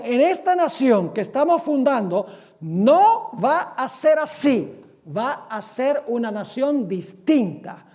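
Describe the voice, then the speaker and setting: Very high-voiced preaching man. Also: darkish tone. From United States. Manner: emphatic, asks rhetorical questions and answers them; reads quotations aloud slowly. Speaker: pastor. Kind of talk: sermon lecture.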